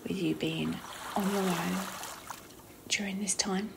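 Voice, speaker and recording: A woman leading a guided visualisation meditation in a soft, breathy, half-whispered voice with slow, unhurried phrasing. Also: on the low side, fairly even intonation. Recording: clearly noisy, trickling water ambience.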